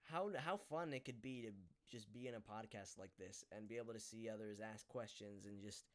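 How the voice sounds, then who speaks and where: A man hosting a casual live podcast over an online call, talking in a hesitant, rambling way with halting delivery. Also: mid-range voice, clear, resonant tone. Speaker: podcast host.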